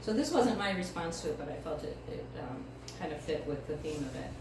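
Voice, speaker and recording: Echoey, distant woman reading poetry, lowish voice. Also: big pitch swings, a little fast. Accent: American. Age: middle-aged. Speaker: featured poet reading at a poetry event. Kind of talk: live poetry reading with casual introductions. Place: indoor live event space, mic far from speaker. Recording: distant, echoey room recording with clear noise.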